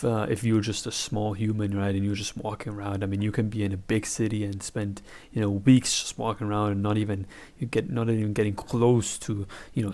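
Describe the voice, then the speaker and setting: A man's voice: on the low side, a little mellow. A young man in his twenties with a German accent, chatting casually as he reacts to a video, rambling and restarting his sentences. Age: 20s.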